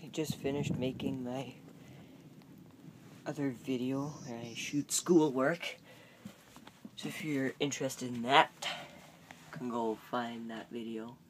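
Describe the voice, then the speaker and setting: A young man talks in a flat, unpolished way as he rambles through a casual tour of his land. The handheld recording is made out in the open countryside, with heavy outdoor noise over his voice. His pitch is average and hardly moves. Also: takes it slowly. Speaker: vlogger and hobby farmer. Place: outdoors on rural farmland in rain. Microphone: handheld phone or camera mic.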